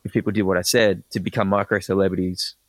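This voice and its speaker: A man with an Australian accent, a podcast guest explaining ideas in quick, enthusiastic bursts with frequent restarts. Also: low-pitched, level tone, no echo. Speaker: guest, personal branding expert. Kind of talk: casual podcast interview.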